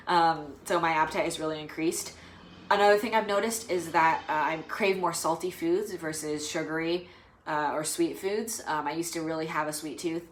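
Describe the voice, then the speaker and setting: A young adult woman vlogging casually to the camera, rambling and hesitant with lots of fillers. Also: low-pitched. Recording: roomy echo.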